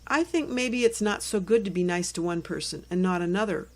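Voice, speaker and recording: A woman voicing a kind, fair-minded young schoolgirl in a storybook, earnestly explaining in a gently serious way. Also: lowish voice, a little fast, natural voice.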